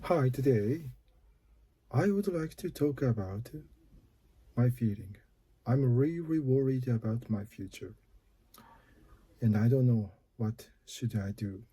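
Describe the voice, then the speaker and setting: A man in his fifties with a Japanese accent, talking slowly and haltingly about his own worries in a personal video. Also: mid-range voice, expressive, dark tone, breathy edge. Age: 50s. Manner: slow, halting, careful phrasing. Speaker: office worker. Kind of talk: personal video talk to camera.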